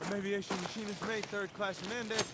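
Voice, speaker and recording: Guy as american ww2 army sergeant, terse n in charge, voice on the high side, really loud noise around. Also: fairly even intonation, fast, close to an everyday voice. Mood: commanding. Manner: terse, authoritative. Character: commanding American army sergeant in World War II. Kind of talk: video game cutscene acting.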